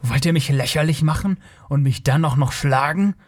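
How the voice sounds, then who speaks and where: A man's voice: fairly high pitch, normal speed, natural voice. This is a man playing a young northern barbarian in a fantasy audiobook. He is blunt, proud and a little naive. His speech is curt and earnest. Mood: angry.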